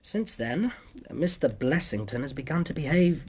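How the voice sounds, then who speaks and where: An actor playing a polite, modest young English doctor in a period radio drama, speaking with a courteous, hesitant and precise manner. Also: male voice, on the high side, expressive, moderate pace, breathy edge. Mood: measured narration. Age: young adult. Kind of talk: period radio drama acting, narrating his story.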